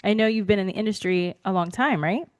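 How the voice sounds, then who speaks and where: A woman hosting an interview as a beauty business coach, upbeat and unhurried. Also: medium pitch.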